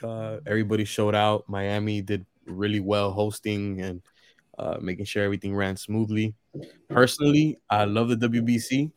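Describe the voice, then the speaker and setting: A man from the Dominican Republic speaking calmly and earnestly on a casual sports podcast. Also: fairly low pitch, moderate pace, clear, resonant tone, no room sound, clean studio sound. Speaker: podcast co-host.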